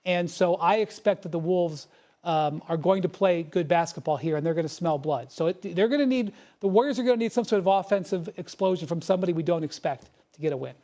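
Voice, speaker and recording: A man giving a brisk, analytical breakdown as a sports analyst. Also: sits high, warm tone.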